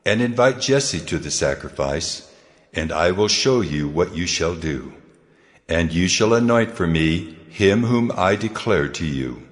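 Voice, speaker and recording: A man's voice: low-pitched, expressive, a little slow. A man voicing God as a solemn, commanding ancient authority in a dramatized Bible story. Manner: solemn, declarative. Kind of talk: dramatized Bible narration acting. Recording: long cavernous reverb, music underneath. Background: music.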